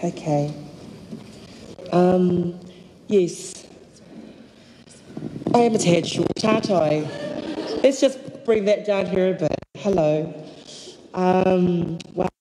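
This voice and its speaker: A woman from Samoa giving a slow, heartfelt acceptance speech at a lectern microphone on a hall stage. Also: fairly low pitch, noisy background. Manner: slow, deliberate, heartfelt with long pauses. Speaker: award recipient. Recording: echoey hall, filmed from the audience.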